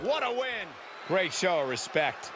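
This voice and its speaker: A man playing an excitable, snide pro wrestling commentator in a video game, emphatic and opinionated. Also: high voice, unhurried. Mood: excited. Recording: loud arena crowd noise behind. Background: roaring crowd and ring noise.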